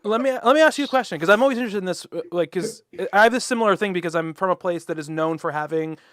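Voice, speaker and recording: A man's voice: high voice, rapid-fire, fairly bright tone, no echo. Brief: male podcast host, casual and giggly, dry home recording. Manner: laughing, trails into filler words. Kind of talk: casual chat. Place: home room, video call.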